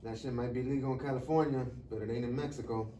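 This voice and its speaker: A man's voice: mid-range voice, steady tone, quick pace, mellow, natural voice. Man acting a curt border agent, echoey room. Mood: stern, sarcastic. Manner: curt, authoritative questioning. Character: young Border Patrol agent, stern, suspicious, sarcastic. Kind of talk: live table read of comedy script. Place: live reading in a room. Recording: echoey room, distant mic, faint noise.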